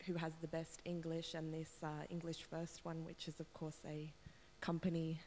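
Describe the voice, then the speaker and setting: Woman audience member, very low voice, halting, lots of ums, echoing hall sound. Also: monotone, darkish tone, slight background noise. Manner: hesitant, many ums, wry. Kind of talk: question from the floor. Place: lecture room. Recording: distant voice with long reverb in a lecture hall.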